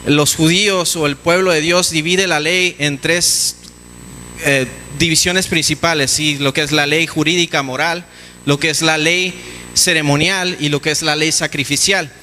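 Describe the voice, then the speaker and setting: Man preaching, fairly high bright voice, teaching sermon, fillers and restarts, echoey church room, faint noise. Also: steady tone, moderate pace. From Mexico. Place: church hall.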